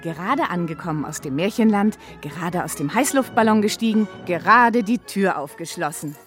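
A woman playing a chatty, curious reporter who narrates a children's radio play expressively, with a husky voice. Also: lowish voice, animated, moderate pace, faint music behind, close to an everyday voice. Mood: excited, storytelling.

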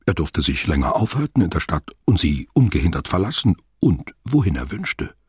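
Sober literary radio-play narrator, low register, heavily husky voice, formal and deliberate. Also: male voice, very animated, a little mellow, no room sound, clean studio sound, exaggerated voice. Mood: sober, measured. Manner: measured, formal, wordy.

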